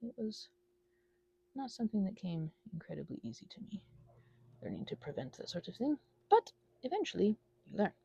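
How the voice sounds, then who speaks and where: Woman, casual solo rambler, wandering and self-deprecating, swooping pitch, recorded close and dry. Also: sing-song, normal speed, vocal fry, pauses often, mellow, breathy edge, no room sound, lo-fi sound. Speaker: audio creator rambling to listeners.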